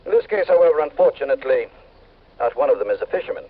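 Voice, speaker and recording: An actor playing a formal, polite insurance company official over a telephone, in old radio drama style. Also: male voice, fairly high pitch, animated, quick pace. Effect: telephone filter. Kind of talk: 1950s-60s radio acting. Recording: tinny phone line, old radio.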